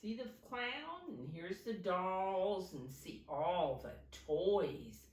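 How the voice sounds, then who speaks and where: A woman reading a picture book aloud to young children like a teacher, in simple deliberate phrases, pausing to point things out. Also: lowish voice, expressive, darkish tone. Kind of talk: animated children's storybook read-aloud. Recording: roomy echo, distant microphone.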